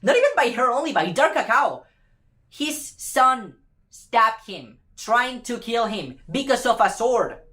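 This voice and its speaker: Female fan commentator with a fairly high voice. Impassioned, exasperated opinion rant, emphatic and informal. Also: sing-song, unhurried, fairly bright tone. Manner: emphatic, exasperated, repeats phrases for effect; casual speech with swearing.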